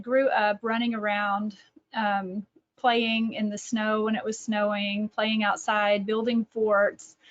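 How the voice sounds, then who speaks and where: A middle-aged woman with a Texan accent speaks in a somewhat high voice. She talks informally, like a presenter thinking aloud and correcting herself as she goes. The sound is a little dull and lo-fi, as on an online call. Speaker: speaker, educator and author. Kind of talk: informal online talk with personal anecdotes. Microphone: video call.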